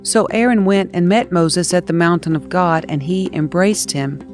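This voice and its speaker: A woman narrating scripture as an audio Bible reader, in an expressive, measured storytelling style. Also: lowish voice, soft background music.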